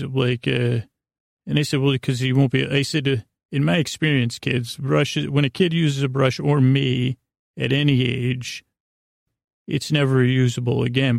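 Middle-aged man, fry-laden voice, dry close sound, rambling bedtime monologue, halting, self-deprecating. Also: mid-range voice, darkish tone, no echo. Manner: halting, meandering, self-interrupting. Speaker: podcast host.

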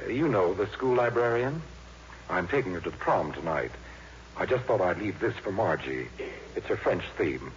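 An actor playing an earnest, bashful young teacher, halting and polite, in 1950s radio style. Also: male voice, low voice, animated. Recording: muffled, noisy old radio recording.

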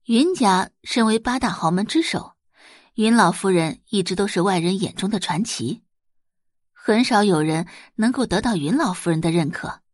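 A woman narrating a Chinese web-novel audio drama in an expressive storytelling manner. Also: mid-range voice, normal speed, dry recording, studio quality.

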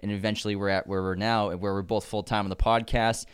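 A man's voice: on the low side, little pitch movement, quick pace, clean tone, dry recording, clean studio sound. A man with a nasal voice from the United States, chatting energetically as a guest on a podcast. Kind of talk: casual chat.

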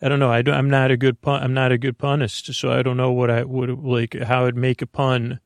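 A man with a creaky voice hosts a bedtime sleep podcast in a soothing, meandering, halting monologue full of stammers and self-interruptions. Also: medium pitch, completely flat delivery, dry recording, clean studio sound. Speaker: podcast host.

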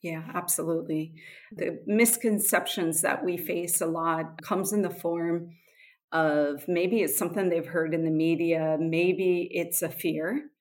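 A woman's voice: lowish voice, fairly even intonation, a little slow, a little mellow. A middle-aged woman with an American accent, answering interview questions in an earnest, explanatory way. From Switzerland and USA. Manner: earnest, explanatory, occasional laughs. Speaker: guest, nonprofit executive director.

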